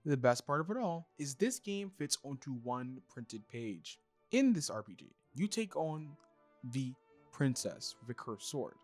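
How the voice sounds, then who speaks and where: A man hosting and narrating a scripted review, speaking in an emphatic, expressive way. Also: mid-range voice, measured pace, faint music behind. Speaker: reviewer and host.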